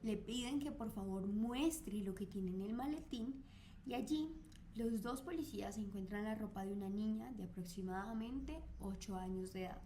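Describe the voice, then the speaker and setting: A woman's voice: medium pitch, moderate pace, dark tone, slight background noise. A woman, recounting a crime case on a chat podcast with frequent fillers. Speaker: co-host.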